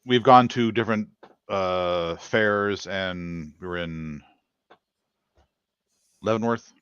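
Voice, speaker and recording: A man's voice: sits low, slow, a bit bright, clear, resonant tone, dry recording, dull audio. A man co-hosting a casual online cooking chat, easygoing and hesitant. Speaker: co-host.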